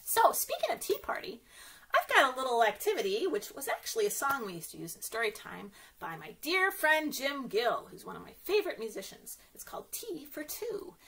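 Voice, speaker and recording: Kids librarian lady, high sing-song up and down voice, playful read-aloud, asks lots of questions. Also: huge pitch swings, fairly quick, a bit bright, breathy edge. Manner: playful, exclaiming, asks the listening children questions. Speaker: children's librarian and story time host. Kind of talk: animated picture-book read-aloud for young children.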